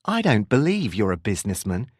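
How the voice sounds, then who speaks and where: A man voicing a curious, persistent woman who probes gently in a narrated novel. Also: lowish voice, sing-song, a little fast, husky, dry recording, studio quality. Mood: serious, probing. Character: curious, persistent young woman, probing and smoking.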